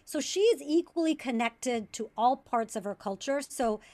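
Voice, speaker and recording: A woman's voice: sits high, normal speed. A woman whose first language is Tamil, a podcast guest and comedian telling stories in casual chat and acting out the voices she quotes. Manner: animated storyteller, acts out quoted voices.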